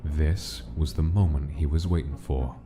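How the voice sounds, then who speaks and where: A man narrating a gangster monster comic dub as a measured dramatic storyteller. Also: rumbling low, fairly even intonation, music in the background. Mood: measured, ominous.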